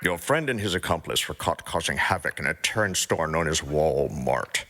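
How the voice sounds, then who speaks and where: A man's voice: extremely low pitch, expressive, normal speed, sharp tone, voice pushed down, exaggerated voice. A man playing a dry, fed-up space police officer in a fan fiction reading, in a matter-of-fact official manner.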